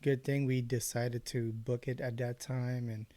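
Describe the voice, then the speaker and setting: Slow-talking man in his late thirties. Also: medium pitch, no room sound. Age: 30s. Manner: relaxed, agreeable, short replies. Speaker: podcast co-host. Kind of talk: casual chat.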